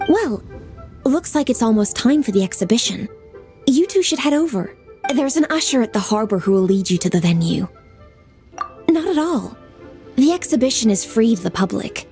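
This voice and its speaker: A woman's voice: mid-range voice, warm tone, natural voice. A woman voicing a diligent young woman official in a video game, composed and formal. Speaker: government official.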